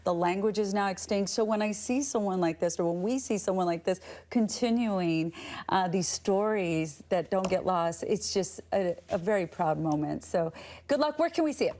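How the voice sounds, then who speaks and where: A woman's voice: mid-range voice, animated, normal speed, warm tone. A woman with an American accent hosting a morning TV interview in an upbeat, encouraging way. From Texas, United States. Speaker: TV host interviewer.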